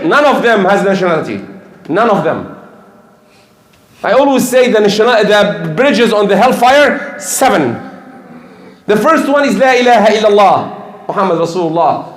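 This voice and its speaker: An older man preaching a sermon in English, emphatic and repeating his key points. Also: sits high. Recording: echoey room.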